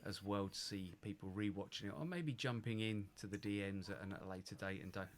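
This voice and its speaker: A man hosting a casual live video chat, easygoing and quick to laugh, letting his sentences trail off. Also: fairly low pitch, background noise. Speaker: host of a live hairdressing stream.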